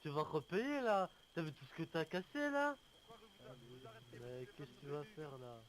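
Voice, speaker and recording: A man's voice: fairly high pitch, very animated, measured pace. A man playing an indignant, insistently complaining driver in an online roleplay game, over noisy voice chat. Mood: alarmed protest. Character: indignant male driver protesting a car crash.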